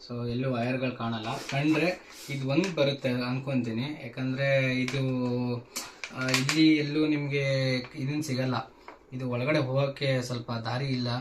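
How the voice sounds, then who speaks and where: A man's voice: medium pitch, slight background noise. A man giving a casual hands-on how-to demonstration, informally mixing in English words. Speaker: tech vlogger presenting a tutorial.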